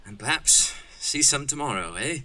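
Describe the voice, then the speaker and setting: An actor playing a skeptical, companionable camper in an audio horror drama, with a genteel, inquisitive manner. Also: male voice, medium pitch, animated, moderate pace, bright, forceful tone, a little hiss or hum. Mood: dismissive, friendly. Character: skeptical, companionable city-bred male camper in the wilderness.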